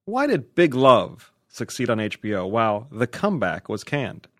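A man's voice: medium pitch, sing-song, moderate pace, a bit bright, no echo, studio quality. A man co-hosting a podcast, reading out listener questions and bantering casually with a joking manner.

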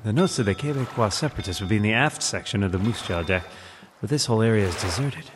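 A man's voice: lowish voice, lively intonation, quick pace, lightly acted. A man as suave pompous radio-serial hero, playboy vigilante, theatrical swooping delivery, long hall reverb, faint noise. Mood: puzzled musing. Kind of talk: 1940s radio serial acting.

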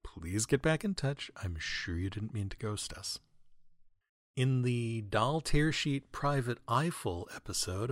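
A man delivers a wry comic monologue as a podcast host. His pitch is middling but moves around a lot, giving mock-grandiose flourishes to an otherwise deadpan manner. The sound is dry and close on the microphone. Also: no room sound. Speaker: podcast host, writer and audio editor. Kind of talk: wry comedic monologue, answering listener mail.

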